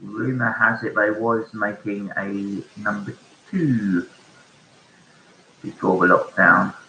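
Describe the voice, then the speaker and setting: Slow, bright-voiced quizmaster. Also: male voice, lowish voice, steady tone, creaky, a little hiss or hum. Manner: slow, repeats each question. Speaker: pub quiz host. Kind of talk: reading out quiz questions. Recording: echoey room, dull lo-fi sound.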